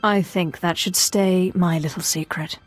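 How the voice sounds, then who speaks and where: Young Sith apprentice, female, lowish voice, cool and composed game dialogue, light music underneath. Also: steady tone, unhurried. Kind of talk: dramatic video game acting.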